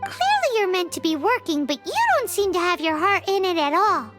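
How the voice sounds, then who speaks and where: A woman voicing a tiny, excitable female companion creature who speaks of herself by name, in a game with loud music behind. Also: very high-pitched, fairly quick.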